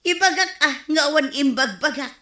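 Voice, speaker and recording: Bright, animated gossipy village woman. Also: high voice, normal speed, roomy sound. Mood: gleeful, scheming. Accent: Filipino, Ilocano. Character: gossipy scheming village woman, gleeful and brazen. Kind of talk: comedy radio drama acting.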